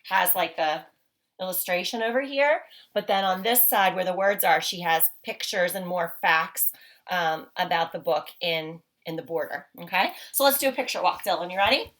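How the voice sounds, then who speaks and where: A woman's voice: on the low side, moderate pace, a bit bright. Woman teacher leading a picture-book read-aloud. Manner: encouraging, simple child-directed talk with frequent questions. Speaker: teacher reading aloud to children. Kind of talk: read-aloud picture walk, explaining and questioning.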